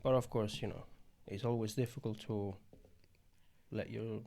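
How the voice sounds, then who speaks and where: A young man from Italy with an Italian accent, chatting casually as a podcast guest, often pausing to search for words. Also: on the low side, fairly even intonation, gravelly, dark tone. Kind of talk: casual conversation.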